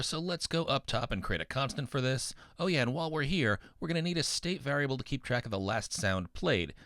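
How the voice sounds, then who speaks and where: A man's voice: mid-range voice, fairly bright tone, no room sound, clean studio sound. A man narrating a coding tutorial as an instructor, in an upbeat, encouraging, instructional manner. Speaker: programming instructor, university professor.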